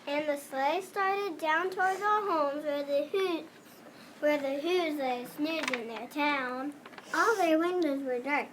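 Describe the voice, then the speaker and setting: High-pitched young child narrating a storybook. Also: takes it slowly, background noise. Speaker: readers theater narrator.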